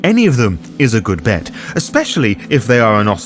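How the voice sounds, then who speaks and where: A man's voice: lowish voice, wildly swooping pitch, racing, music in the background. A man hosting a game guide, reading scripted explainer narration with a wry, casual manner. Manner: wry, casually sweary asides. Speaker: host of a roleplaying game guide.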